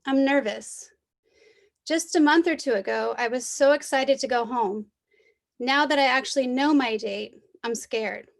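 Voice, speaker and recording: High-voiced woman at a poetry reading. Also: measured pace, creaky. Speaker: poetry mentor. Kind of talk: introducing and reading a poem aloud. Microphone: video call.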